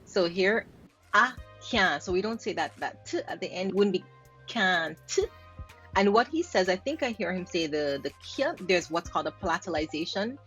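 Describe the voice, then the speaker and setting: A woman's voice: on the low side, animated, moderate pace, fairly bright tone, lo-fi sound, soft background music. Jamaican woman linguist explaining sounds. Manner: repeats words to demonstrate sounds, laughs lightly. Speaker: linguist host. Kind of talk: explaining pronunciation while reacting to clips.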